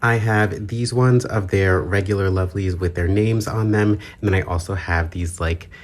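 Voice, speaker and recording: Low-voiced man vlogging casually, getting over a cold. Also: steady tone, normal speed. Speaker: YouTube channel host, collector. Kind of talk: casual chatty vlog with Q&A.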